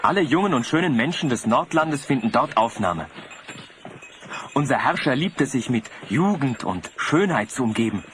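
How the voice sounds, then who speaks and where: A man plays a vain, naive young fellow with a very animated, mid-pitched voice. He speaks earnestly and with swooping intonation in radio play style, over faint tape noise. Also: normal speed, lightly acted. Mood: proud, enthusiastic. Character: naive, vain young male sled driver, devoted citizen. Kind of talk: German audio drama acting. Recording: slightly noisy cassette audio drama.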